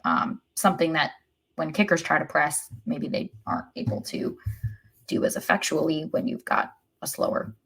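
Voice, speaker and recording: Woman, slightly low pitch, wry and blunt, critical, casual sports podcast chat. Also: animated, moderate pace, vocal fry, a bit bright, breathy edge, low-quality recording. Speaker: podcast co-host.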